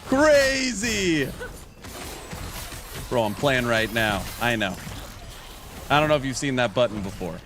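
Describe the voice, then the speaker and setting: A man's voice: medium pitch, big pitch swings, slow, pauses often, over music. Jokey late-30s male game streamer. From California. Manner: jokey, reads viewer chat aloud and banters. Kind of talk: casual livestream chat while gaming.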